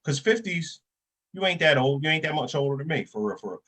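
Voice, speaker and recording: A man's voice: a little high, expressive, brisk, fairly bright tone. A man in his thirties hosting a casual podcast chat, talking bluntly and animatedly and swearing freely. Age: 30s. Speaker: podcast host.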